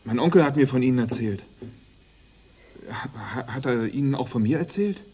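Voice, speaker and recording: Faint noise sits behind an actor playing a young policeman in a radio play. He is a courteous, slightly puzzled man who speaks naturally at an ordinary pitch. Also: animated, normal speed, mellow, natural voice. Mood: polite, curious. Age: young adult. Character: polite, puzzled young policeman, an attached nephew. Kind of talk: naturalistic radio drama acting.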